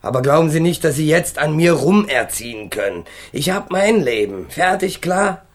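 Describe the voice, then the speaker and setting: A man's voice: on the high side, expressive, moderate pace. Brash, slangy young male ex-convict. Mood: mocking, defiant. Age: young adult. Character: defiant young ex-convict, cynical, crude, remorseless. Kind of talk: German radio crime drama acting.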